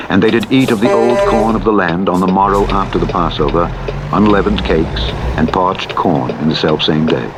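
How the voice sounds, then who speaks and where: A man with a fairly low voice reads scripture as a solemn narrator, measured and declamatory in delivery. Clear truck engine and road noise runs behind him. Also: dull audio. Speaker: Bible narrator. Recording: loud vehicle engine noise over voice. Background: truck driving simulator engine and road noise.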